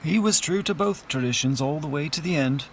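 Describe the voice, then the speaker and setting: A man's voice: a little high, brisk, a little hiss or hum, lightly acted. Easygoing older man in mourning, played by an actor. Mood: reflective, gentle. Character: gentle older Irish-family relative in mourning, easygoing, wry. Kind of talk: radio play dialogue.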